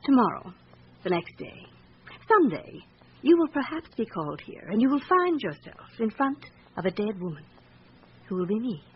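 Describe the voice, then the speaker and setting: An actress in a radio drama playing an anxious, guarded upper-class wife with a formal, earnest manner. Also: female voice, a little high, big pitch swings, normal speed. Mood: fearful, grave. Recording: dull radio recording with background hiss.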